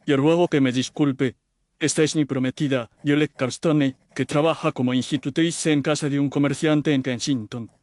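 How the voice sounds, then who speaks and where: A man's voice: a little high, fairly even intonation, normal speed, a little mellow, no room sound, clean studio sound, pitched above the performer's own voice, lightly acted. Man as anxious, earnest young Victorian clerk. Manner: formal, deferential, pleading. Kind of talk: audio detective story dialogue.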